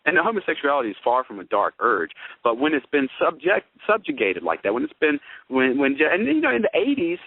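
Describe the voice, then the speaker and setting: A man's voice: fairly high pitch, huge pitch swings, a little fast, no room sound. A man speaking as an astrologer in a conversational debate, with an emphatic, wordy manner and formal vocabulary. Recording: tinny, band-limited radio or phone-line audio.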